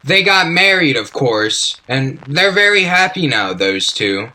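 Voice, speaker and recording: A man playing a folksy, halting storyteller in an old-time radio play. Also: fairly high pitch, very animated, pushed and bright, heavily acted, poor audio. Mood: folksy, wistful. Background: faint music.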